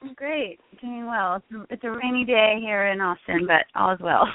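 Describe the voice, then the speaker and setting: A woman's voice: mid-range voice, moderate pace, no echo. Tinny phone-line American woman, dry sound. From Connecticut, United States. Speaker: guest, health coach. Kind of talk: conversational interview answers, telling own story.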